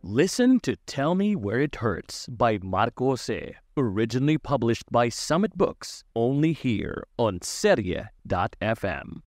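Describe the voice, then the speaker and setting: A male announcer reads a scripted promo at a very slow pace. His pitch moves in a lively way, recorded dry over a music bed. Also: no echo.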